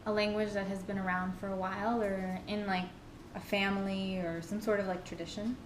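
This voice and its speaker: A woman's voice: mid-range voice, level tone, a little fast, loud music behind the voice. A young woman from Venezuela whose first language is Spanish, answering interview questions casually with many fillers. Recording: echoey room with faint noise.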